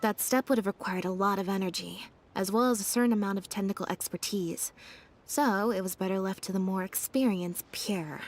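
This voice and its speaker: A woman voicing a composed female investigator who explains things in a measured way. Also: medium pitch, close to an everyday voice. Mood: explaining. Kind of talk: game voice acting.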